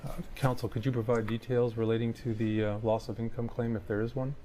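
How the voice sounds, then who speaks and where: A man's voice: mid-range voice, level tone, a little fast, mellow, natural voice. A man playing a methodical lawyer who questions an injured claimant in a formal, probing way. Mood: formal, procedural. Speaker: examining lawyer. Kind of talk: staged legal discovery questioning.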